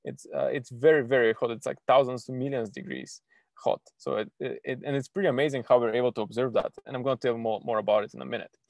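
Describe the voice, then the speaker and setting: A young man teaches astrophysics to children over a video call, stumbling through restarts and fillers as he goes. His voice sits at a middle pitch and sounds dry and close, with no room around it. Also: vocal fry, darkish tone, dry recording. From Bulgaria. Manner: halting, many restarts and fillers, eager. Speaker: astrophysics PhD student giving an online talk. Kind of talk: informal online science lesson for kids.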